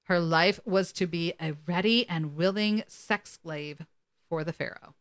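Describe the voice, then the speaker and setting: A woman's voice: lowish voice, unhurried. A woman co-hosting a casual podcast, reading articles aloud and dropping in wry asides. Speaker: podcast co-host.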